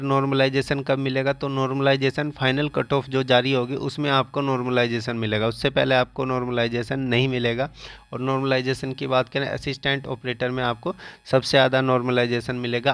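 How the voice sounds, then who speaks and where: A man's voice: medium pitch, fairly bright tone, clear, resonant tone, no echo. A man presenting an informational exam update to students, explaining in repetitive phrasing with English terms mixed in. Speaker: host of an exam coaching channel.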